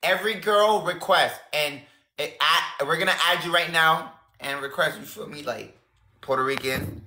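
A hoarse nineteen-year-old man from the Bronx, chatting and teasing on a phone livestream. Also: a little high, normal speed, pushed and bright, echoey room. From the Bronx, New York. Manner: slangy, laughing, teasing. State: hoarse, losing his voice. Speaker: livestream guest. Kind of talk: casual livestream banter. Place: indoor room. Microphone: phone at arm's length.

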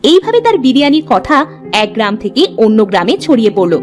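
A woman's voice: a little high, big pitch swings, fairly quick, soft background music. A woman narrating a children's cartoon tale in a scripted storybook style.

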